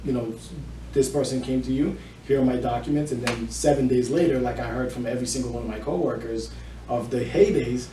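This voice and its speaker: An echoey, slightly noisy room recording of a man being interviewed. He is middle-aged and has a mellow voice. He explains things at length as a loan officer. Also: medium pitch, brisk. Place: indoor room with small audience. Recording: echoey room, distant mic, faint noise.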